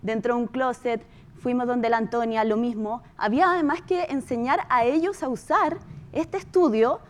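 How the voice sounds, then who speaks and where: A woman with a Chilean accent gives an informal talk as a producer. Her voice sits a bit high, and she tells her story conversationally, repeating words for emphasis. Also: normal speed. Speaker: podcast producer giving a talk.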